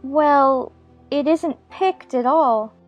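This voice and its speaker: A woman's voice: sits high, very slow, highs cut off, soft background music. A woman playing an earnest, polite young girl in a dramatized storybook, eager and decided as she reasons things out. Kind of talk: dramatized audiobook acting.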